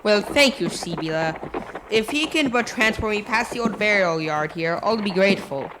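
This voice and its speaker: A lively, swooping voice for a vain and nervous colonial schoolmaster acted by a female player. The speech is florid and old-fashioned, in radio play style. Clear noise and clicks sit on the recording. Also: mid-range voice, moderate pace, lightly acted. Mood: polite, uneasy.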